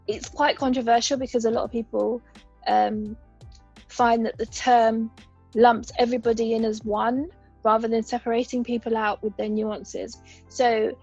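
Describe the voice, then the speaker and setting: Brief: woman, 30s, raised partly in Uganda, husky and fairly high voice, measured explainer, dull lo-fi podcast sound. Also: level tone, darkish tone, soft background music. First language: a Ugandan language. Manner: thoughtful, explanatory, lays out examples. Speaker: podcast guest. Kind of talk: conversational interview.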